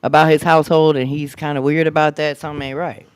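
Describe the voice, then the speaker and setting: A woman's voice: deep voice, level tone, fast talker, smooth, no room sound. An American woman in her early forties chatting casually on a podcast in a candid, slangy way. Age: early 40s. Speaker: podcast panelist. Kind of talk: casual chat.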